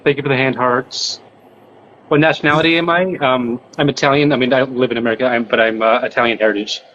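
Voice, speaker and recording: A man hosting a casual livestream and screening callers with quick set questions. He sounds nauseous after recent surgery. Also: medium pitch, fairly even intonation, fairly bright tone, dull audio, slight background noise. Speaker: livestream host. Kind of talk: casual live-stream chat with callers.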